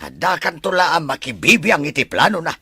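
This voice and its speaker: A man playing a plain-spoken married man in a domestic drama, with a rough, husky voice. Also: fairly high pitch, very animated, a little fast, bright, forceful tone, dry recording, exaggerated voice. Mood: grumbling. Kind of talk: Ilocano radio-style drama acting.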